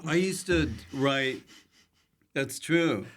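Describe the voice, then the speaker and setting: A man's voice: mid-range voice, drawn-out words, fairly bright tone. An American man hosting a casual comedy podcast interview, asking questions and adding short remarks. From United States. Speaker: podcast host.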